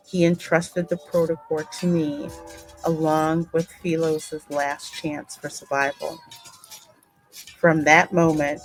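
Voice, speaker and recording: Female game host and narrator: deep register, measured pace, dramatic storytelling delivery with conversational asides. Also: low-quality recording. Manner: deliberate, dramatic reading. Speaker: gaming channel host and narrator.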